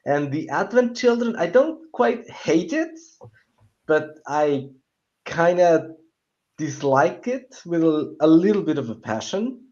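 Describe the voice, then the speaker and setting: A man talking casually and giving opinions as a film panellist, halting and searching for words. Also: a little high, big pitch swings, darkish tone. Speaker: panellist on a film talk show. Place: online call with screen sharing. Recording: dull, lo-fi call audio.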